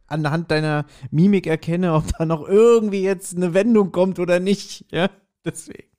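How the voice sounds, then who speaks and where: A man's voice: sits high, steady tone, moderate pace, mellow. A man co-hosting a podcast in casual chat, speculating and playfully nitpicking with a joking manner. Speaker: podcast co-host. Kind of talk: casual chat discussing an audio drama.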